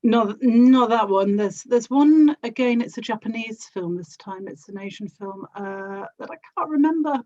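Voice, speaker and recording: A woman's voice: fairly high pitch, normal speed, hardly any pauses, dark tone, dull audio. A woman from South London with a South London accent, chatting casually as an interview guest. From South London, England. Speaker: interview guest, audio drama actor and producer.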